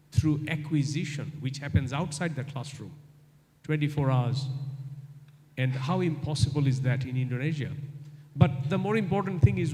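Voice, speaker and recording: A man's voice: fairly high pitch, a little mellow. A middle-aged man from Bangladesh with a Bangladeshi English accent, giving a live guest lecture and answering a student's question, repeating his key points for emphasis. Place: large university hall. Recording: long hall reverb.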